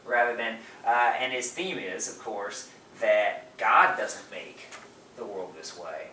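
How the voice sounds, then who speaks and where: Male podcast co-host, forceful bright tone, mid pitch, effusive film talk, picked up from across a room with faint noise. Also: slow. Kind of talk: casual film discussion. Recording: roomy, far from mic, faint noise.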